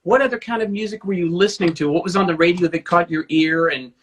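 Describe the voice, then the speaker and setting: Male host, somewhat high voice, warm fan energy, self-deprecating wit. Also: fast. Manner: enthusiastic, self-deprecating humor. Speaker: interview host. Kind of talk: casual interview chat. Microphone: video call.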